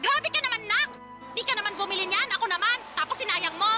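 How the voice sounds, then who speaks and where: A woman's voice: very high-pitched, animated, fast, loud music behind the voice, over a noisy crowd. A woman playing a brazen, argumentative middle-aged mother in a radio drama. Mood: defiant. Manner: brash, argumentative.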